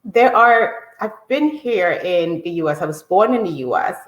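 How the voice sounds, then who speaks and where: A woman's voice: on the low side, lively intonation, moderate pace, reverberant, low-quality recording. A woman raised in Guyana, hosting a podcast and telling her story in an earnest, conversational way. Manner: earnest, storytelling, some restarts. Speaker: podcast host. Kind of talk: casual conversational podcast talk.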